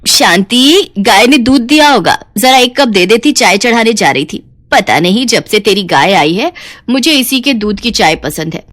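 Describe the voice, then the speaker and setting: A woman's voice: fairly high pitch, lively intonation, moderate pace, fairly bright tone. A woman voicing a greedy, pushy, scheming older mother-in-law in a storybook cartoon, with a grumbling, wheedling and self-satisfied manner.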